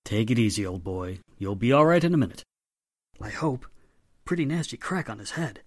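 Man acting an animated well-spoken gentleman. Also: medium pitch, moderate pace. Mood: soothing. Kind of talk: radio drama acting.

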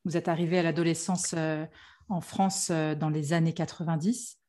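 A woman's voice: sits low, level tone, dark tone. Friendly woman podcast host. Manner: friendly, apologetic, with quick self-corrections. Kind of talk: podcast interview.

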